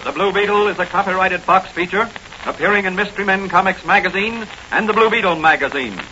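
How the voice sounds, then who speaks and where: A man's voice: high voice, fast, very noisy. A man with an American accent giving an emphatic, declamatory scripted narration as a 1940s radio announcer. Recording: muffled old radio recording.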